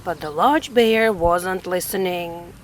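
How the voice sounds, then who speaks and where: A woman reading a children's picture book aloud, expressive and deliberate, on a close mic. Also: fairly low pitch, slight background noise, low-quality recording. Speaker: children's story reader. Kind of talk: read-aloud storytelling.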